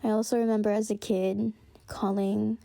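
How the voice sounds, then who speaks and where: A woman's voice: mid-range voice, flat delivery, normal speed, gravelly, dark tone, no echo, studio quality. A young woman reflecting on her family heritage in a wistful, unscripted way, full of fillers and restarts. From United States. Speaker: storyteller sharing her family history.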